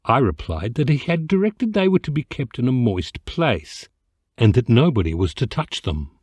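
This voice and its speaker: Male narrator, mid-pitched, for humorous classic fiction: theatrical, very animated, mock-solemn. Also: normal speed, breathy edge, dry recording. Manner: theatrical, expressive, mock-dignified. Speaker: amateur voice actor reading an audiobook excerpt. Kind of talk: comic literary narration, storytelling.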